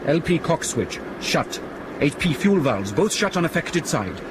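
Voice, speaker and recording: Casting: methodical engineer, slightly high voice, exact technical delivery, radio drama over aircraft rumble. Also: male voice, sing-song. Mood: procedural. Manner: measured, technical. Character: methodical male flight engineer, technical and deferential. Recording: noisy aircraft cockpit sound effects.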